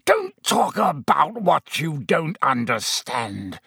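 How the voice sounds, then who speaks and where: A man's voice: fairly high pitch, very animated, a little slow, husky, dry recording, studio quality, pitched above the performer's own voice, heavily acted. A man voicing a sneering, vengeful schoolteacher with a silky, menacing, contemptuous manner in an audiobook. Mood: furious. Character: sneering, triumphant, fanatical male schoolteacher bent on vengeance.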